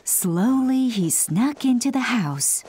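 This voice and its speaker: A woman's voice: fairly high pitch, expressive, slow, warm tone, breathy edge, no echo. A woman narrating a scripted children's bedtime story. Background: music.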